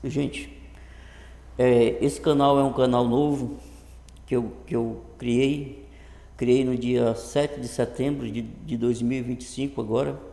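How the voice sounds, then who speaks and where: A man in his early fifties with a northern Brazilian accent, talking casually and haltingly to camera, often repeating words, in an echoey improvised room. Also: mid-range voice, steady tone, vocal fry, mellow. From Brazil, Rondônia. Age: early 50s. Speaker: vlogger introducing his channel. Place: improvised untreated home room. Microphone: computer webcam setup.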